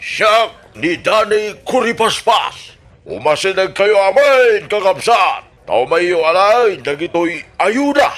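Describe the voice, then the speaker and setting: An actor playing a scheming, blustering village candidate in an Ilocano radio comedy drama, with an Ilocano Filipino accent and a theatrical, drawn-out delivery. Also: male voice, high-pitched, sing-song, a bit bright, exaggerated voice. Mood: grandly persuasive. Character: scheming, blustering male village election candidate, bitter after losing.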